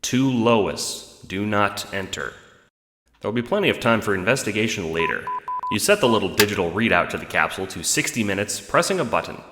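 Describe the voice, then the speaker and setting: A man's voice: fairly low pitch, sing-song, fast talker. A man reading scripted second-person narration for an audio drama, on a noisy home recording. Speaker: narrator and producer.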